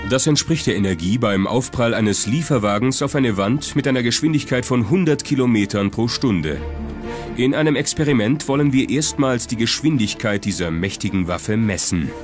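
A man's voice: mid-range voice, animated, moderate pace. A man reading scripted documentary narration as the narrator. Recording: music under the voice. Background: prominent music.

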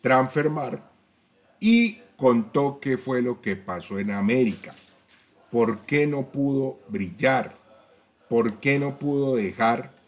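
A man hosting a football fan channel, talking straight to viewers about player stats and often checking in with a quick "¿ya?". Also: mid-range voice. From Colombia. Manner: talks to viewers directly, tag questions, stats-heavy. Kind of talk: casual fan-channel commentary.

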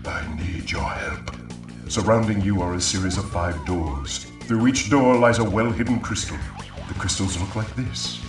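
An actor playing a pompous, scheming mad scientist in a video game, theatrical and mock-courteous. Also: male voice, lowish voice, normal speed, close to an everyday voice. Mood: grandly scheming. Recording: echoey and distant, music behind.

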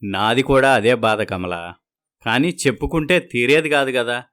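A man's voice: medium pitch, unhurried, a bit bright, natural voice. Man as a sad, resigned older father-in-law. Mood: sorrowful.

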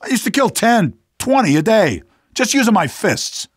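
An actor playing a cocky, stubborn rebel fighter in a video game, casual, streetwise and gravelly. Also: male voice, high-pitched, big pitch swings, moderate pace, dry recording, clean studio sound, exaggerated voice. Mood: smug, stubborn.

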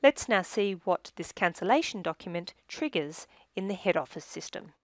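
A woman reading scripted software tutorial narration in a measured, instructional manner, recorded as a close-miked voice-over. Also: lowish voice, animated, dry recording.

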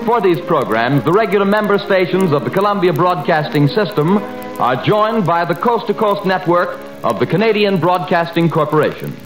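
A man's voice: high voice, animated, moderate pace, echoey room, over music, rough recording. A man announcing a 1930s radio broadcast in a formal, declamatory manner, in an old radio recording.

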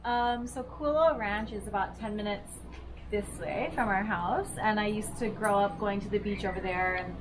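A young woman from Hawaii chatting casually as a guest on an outdoor porch, relaxed with lots of fillers. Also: mid-range voice, normal speed, background noise. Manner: relaxed, filler-heavy, laughs. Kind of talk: casual chat.

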